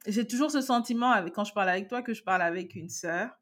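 Woman, podcast host, mid-pitched voice, warm, effusive, quick bursts. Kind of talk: conversational interview.

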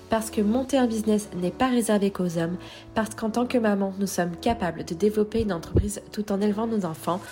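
A woman reading a confident, scripted podcast introduction. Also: medium pitch. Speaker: podcast host. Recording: voice over background music. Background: music.

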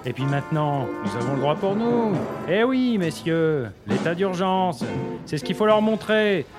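A man's voice: sits high, expressive, unhurried, music in the background. A man playing a cocky, scheming prime minister who talks like a slangy film gangster in a satirical audio drama. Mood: menacing swagger. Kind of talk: satirical audio fiction acting.

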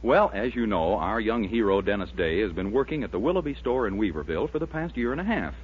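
A man's voice: on the low side, lively intonation, fast. An American man announcing a 1940s radio show in a polished, emphatic scripted sales style. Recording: dull 1940s radio recording, music behind.

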